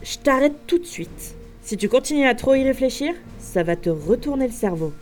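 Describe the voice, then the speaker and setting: A woman acts out a seasoned time cop in an audio drama. She is brisk, bossy and dismissive toward her rookie, speaking in a fairly high voice with music behind her. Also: expressive, darkish tone. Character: brisk, seasoned female time-police agent bossing a rookie. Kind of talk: French audio fiction acting.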